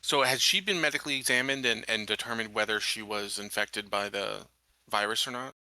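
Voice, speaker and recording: A man's voice: fairly low pitch, brisk, bright, forceful tone, no echo, rough recording. A man playing a tabletop game, chatting casually and stammering a little as he asks and answers. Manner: hesitant, stammering.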